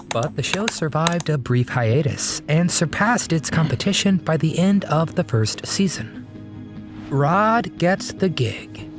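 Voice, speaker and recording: A narrator reading a scripted documentary-style video in a presentational way. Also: medium pitch. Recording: music bed behind the voice. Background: music.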